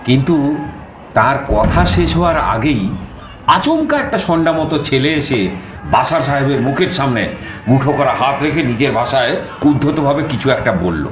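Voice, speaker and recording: A man's voice: mid-range voice, normal speed, roomy sound, natural voice. An actor playing a theatrical raconteur who tells his own travel adventure as a dramatised audio story. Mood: tense, vivid. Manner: expressive, theatrical. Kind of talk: dramatised audio story narration in first person. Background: background music.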